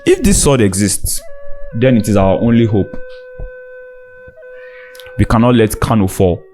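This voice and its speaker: A man's voice: on the low side, very animated, measured pace, dark tone. Man as commanding Emir ruler, urgent, determined, imperious, storybook tale, music behind.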